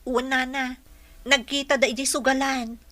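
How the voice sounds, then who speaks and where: Frank young woman, concerned friend, highish bright voice, radio drama. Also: little pitch movement, moderate pace, no echo. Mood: hesitant, frank.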